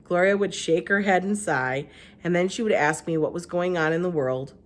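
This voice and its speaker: A woman's voice: on the low side, moderate pace. A woman narrating a children's storybook aloud like a teacher reading to a class.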